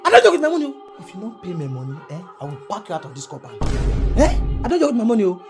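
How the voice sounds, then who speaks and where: A man voices an excitable young Nigerian landlord who swings between flustered and stingy. His Nigerian-accented voice leaps widely in pitch, and music plays clearly behind him. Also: fast, lightly acted. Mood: indignant.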